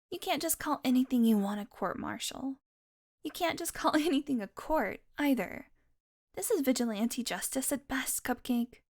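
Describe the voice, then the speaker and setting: A woman's voice: high-pitched, darkish tone. A woman playing a haughty, sardonic female vampire on the run in an audio roleplay, teasing and exasperated as she talks straight to the listener. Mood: incredulous, sardonic. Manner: sarcastic, teasing, exasperated sighs.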